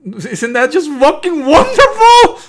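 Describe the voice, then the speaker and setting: A man giving live let's play commentary and reading game text aloud, exasperated and sarcastic, with animated outbursts and frequent swearing. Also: extremely high pitch, slow, background noise. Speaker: streamer playing and commenting on a visual novel.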